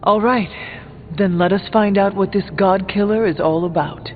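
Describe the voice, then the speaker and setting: An actor playing an earnest warrior hero in a superhero video game, with formal, deliberate speech. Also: male voice, high-pitched, lightly acted. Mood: resolute. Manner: formal, deliberate, avoids contractions. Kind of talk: video game dialogue acting. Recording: thin narrow band, reverberant, music behind.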